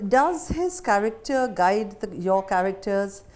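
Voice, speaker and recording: A woman's voice: medium pitch, lively intonation, a little slow, darkish tone. A woman with an Indian accent hosting a conversational interview. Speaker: interviewer, host.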